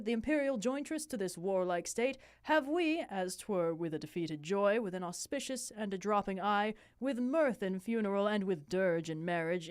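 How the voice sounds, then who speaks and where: महिला की आवाज़ में उतार-चढ़ाव से बोलता रौबीला राजा. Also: female voice, medium pitch, clear, resonant tone, no echo, clean studio sound, natural voice. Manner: declamatory, formal. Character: stately Danish king addressing his court. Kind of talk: theatrical Shakespeare read-aloud.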